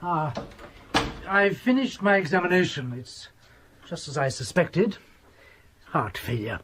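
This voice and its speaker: An actor playing a haughty, short-tempered doctor in a radio drama, condescending and pompous in manner. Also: male voice, fairly high pitch, expressive, unhurried, a bit bright, slight background noise. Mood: brisk.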